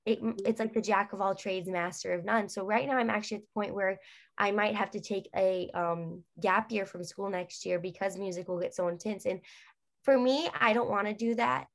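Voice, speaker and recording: A young woman talking casually as an interview guest. She rambles, circling back with fillers, at an ordinary middle pitch. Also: steady tone, moderate pace. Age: young adult.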